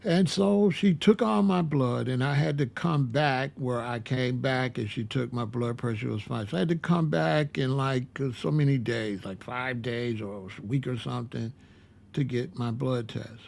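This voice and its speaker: A man in his sixties telling a personal story in a casual, rambling way, repeating himself and filling pauses with "uh". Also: mid-range voice, creaky, a little mellow. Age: 60s. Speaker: vlogger.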